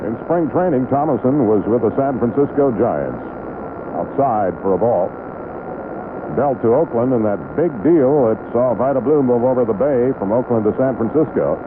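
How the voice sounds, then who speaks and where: Male sports commentator, steady and explanatory, live game call, narrowband vintage radio with clear background noise. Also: medium pitch, normal speed. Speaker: baseball play-by-play radio announcer. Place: ballpark broadcast booth. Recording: noisy narrowband 1970s AM radio broadcast.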